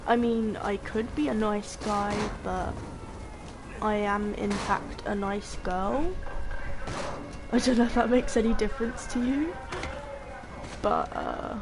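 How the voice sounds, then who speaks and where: A woman streamer with a low voice, chatting casually and rambling to her chat over gameplay through a distorting mic. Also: slow, pauses often, noisy background.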